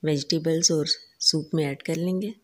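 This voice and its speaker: A woman explains a recipe step by step in a calm voice-over, listing each ingredient and its amount. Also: low voice, moderate pace. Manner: calm, instructive, lists ingredients and quantities. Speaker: cooking channel host.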